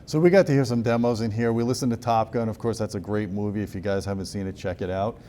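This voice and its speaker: A man's voice: fairly low pitch, steady tone, quick pace, dark tone, a little hiss or hum. A man in his forties hosting a casual trade show interview in a large hotel demo room, on a lapel mic. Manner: casual, upbeat questions.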